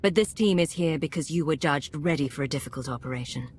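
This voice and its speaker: Cool, commanding secret agent. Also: female voice, low voice, fast, a little mellow, slightly rough. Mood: resolute. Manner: controlled, authoritative. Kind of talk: video game dialogue acting.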